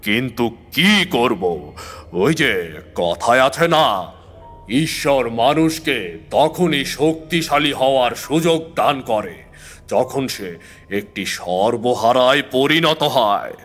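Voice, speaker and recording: Weary older male mystic voiced by an actor, unhurried, philosophical horror-story monologue over music. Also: medium pitch, animated, a bit bright. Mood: resigned.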